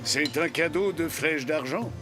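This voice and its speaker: A slightly high male voice of an actor plays a wise, kindly tribesman in a cartoon. He talks in a measured, solemn way. Music is loud behind him. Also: close to an everyday voice. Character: wise, kindly adult man of a Sioux tribe. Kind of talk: French animated series dubbing.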